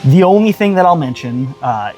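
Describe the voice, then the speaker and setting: A man's voice: a little high, expressive, normal speed, a little mellow. Earnest man reviewing games over music. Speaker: co-host of a game review show. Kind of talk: conversational review.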